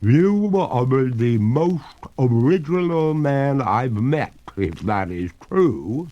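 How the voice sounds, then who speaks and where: A man plays a haughty lady water ghost from a storybook tale. The voice lifts well upward and stretches words slowly, genteel and plaintive. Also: mid-range voice, animated, warm tone, lo-fi sound, voice pushed up. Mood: haughty, curious. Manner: drawn-out, theatrical, genteel.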